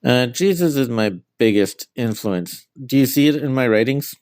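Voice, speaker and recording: A man's voice: mid-range voice, moderate pace, darkish tone, no echo, clean studio sound. A middle-aged man being interviewed as an author, giving answers about their own work.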